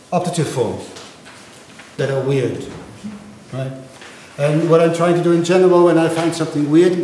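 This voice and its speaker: Dutch-native male lecturer, patient explaining, very echoey hall, faint background noise; steady, mid-pitched voice. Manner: explanatory, checks understanding with frequent "right?". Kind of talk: university lecture on linguistics. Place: lecture room.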